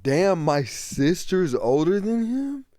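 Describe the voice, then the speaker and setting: Mid-twenties podcast host, animated and loud-mouthed, slightly high and dry. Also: male voice, slow pace, no room sound. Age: 20s. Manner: animated, swears, laughs often. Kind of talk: casual chat.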